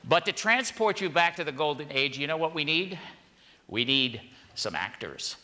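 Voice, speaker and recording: A man's voice: on the high side, lively intonation, moderate pace, bright, forceful tone. An older man acting as master of ceremonies, making informal introductions to a live audience. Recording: live hall recording, crowd and noise. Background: audience crowd noise.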